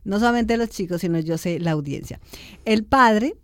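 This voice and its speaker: A woman's voice: medium pitch, moderate pace, a bit bright, no room sound, studio quality. A woman hosting an interview programme, talking in a chatty, informal way and using diminutives as she puts questions to her guest. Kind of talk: conversational radio-style interviewing.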